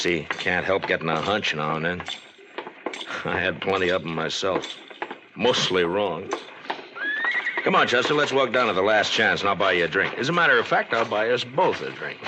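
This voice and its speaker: A low-voiced, tough American lawman of the frontier, calm and steady. It is old-time radio drama, under very heavy recording noise. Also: moderate pace. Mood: firm, reassuring. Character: calm, tough middle-aged U.S. marshal of the Old West.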